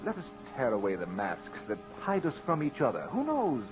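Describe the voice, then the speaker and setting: A mocking young gentleman speaks with simmering menace in an old radio horror play. His pitch sweeps widely and theatrically over drawn-out phrases. The recording is muffled, with music underneath. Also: huge pitch swings. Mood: mocking, menacing. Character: sardonic young gentleman customer, suave and menacing, barely contained resentment. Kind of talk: 1940s radio horror acting.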